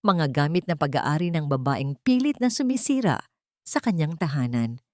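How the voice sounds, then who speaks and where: Low-pitched woman narrating a drama. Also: animated, normal speed, no room sound, studio quality. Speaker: story narrator.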